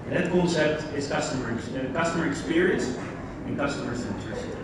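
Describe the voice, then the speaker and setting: A man's voice: on the high side, a little fast. Dutch guy doing a conference talk, chatty, asks the crowd stuff, mic kinda far off. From Netherlands. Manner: conversational, addresses the audience directly with questions. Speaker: conference speaker. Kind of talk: conference keynote presentation. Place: large conference hall. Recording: very echoey hall, distant mic, background noise.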